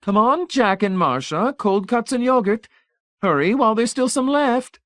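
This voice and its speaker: Storybook part: man voicing a fussy, gushing older lady, pitched high. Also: a little slow, slightly rough, no room sound, clean studio sound. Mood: calling out. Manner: high, gushing, calls out from afar. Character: fussy cheerful older woman picnicking.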